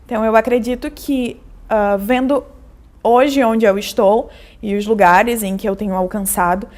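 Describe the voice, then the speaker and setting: Earnest higher-voiced woman. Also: steady tone, a little mellow. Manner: measured and earnest, often tagging phrases with "né?". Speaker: journalist and university lecturer. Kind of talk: reflective interview testimony.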